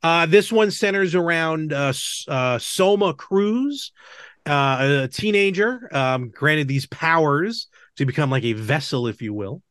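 A man hosting a casual chat podcast, talking in a halting, measured way with small asides and self-corrections. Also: on the high side, lively intonation, a bit bright, no room sound, clean studio sound. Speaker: podcast host. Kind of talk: casual chat about games and film.

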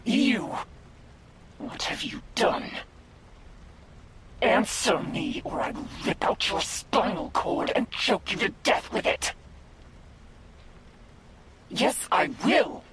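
Casting: woman to play cruel, haughty demon girl for ASMR roleplay; whispery, theatrical, very slow delivery with wide swings in pitch. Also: sing-song, heavily acted. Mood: angry, demanding. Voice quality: breathy, much of it whispered. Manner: theatrical, taunting, archaic formal phrasing. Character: menacing, haughty demon girl, sadistic and wrathful. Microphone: binaural microphone.

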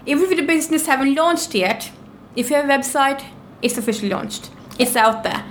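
A woman from Norway speaks as a podcast guest in a fairly high voice. She is plain-spoken and encouraging, with clear noise in the background. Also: brisk. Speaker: podcast guest, business owner. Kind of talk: conversational interview answers.

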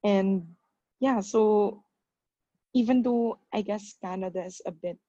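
A woman from the Philippines with a Filipino accent, speaking hesitantly with many pauses in casual conversation and mixing in Tagalog words. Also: medium pitch, dark tone, no echo, dull audio. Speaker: new immigrant.

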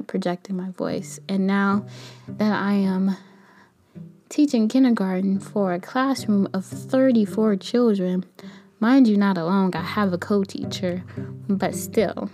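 A young woman with a light, soft voice talking casually in a rambling, candid personal podcast monologue. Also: mid-range voice. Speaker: podcast host; kindergarten teacher.

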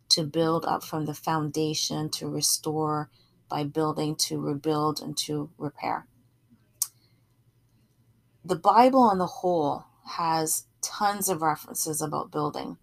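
A woman's voice: sits low, creaky. A middle-aged woman from Barbados giving a sermon in a conversational, explanatory teaching way, often checking in with her listeners. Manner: explanatory, often checks in with "right?" and "you know". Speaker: preacher.

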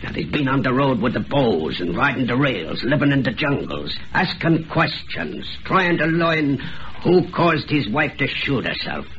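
An actor playing a boastful, cruel hobo murderer, drunk and wary, with rough slangy American speech in an old-time radio drama. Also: male voice, medium pitch, big pitch swings, measured pace, fairly bright tone. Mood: uneasy. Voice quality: rough uneducated slangy diction. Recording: dull, noisy old radio recording.

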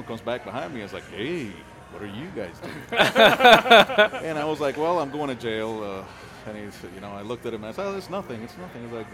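Male interview guest, rapid casual delivery, hesitant and jokey. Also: mid-range voice. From Puerto Rico. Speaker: guest, brewer. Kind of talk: casual storytelling in a podcast interview.

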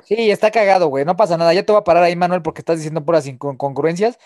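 A man's voice: on the high side, very fast, a little mellow, dry recording, clean studio sound. A man with a Mexican accent hosting a podcast, teasing his co-hosts and swearing freely in casual banter. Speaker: podcast host. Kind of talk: casual chat.

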